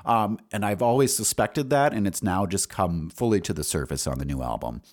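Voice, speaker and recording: A man in his forties with a deep voice talks as a podcast host in a loose solo monologue. His pitch moves around a lot as he rambles candidly, casual and unscripted. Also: animated, a little fast. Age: 40s. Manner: rambling, candid, salty-tongued.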